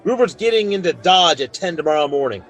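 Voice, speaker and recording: Plainspoken Western marshal, lively pitch. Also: male voice, a little fast, some chatter behind. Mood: stern, measured. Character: male frontier marshal, plainspoken, authoritative, fair-minded. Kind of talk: old-time radio western acting.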